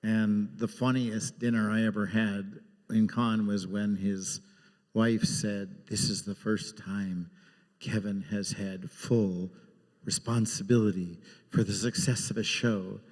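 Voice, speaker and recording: A man with a fairly low voice tells a story as a panel guest. The sound has the long echo of a large hall. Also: level tone, takes it slowly, darkish tone. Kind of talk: anecdotal live panel talk. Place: large indoor convention panel room. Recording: echoey hall with long reverb.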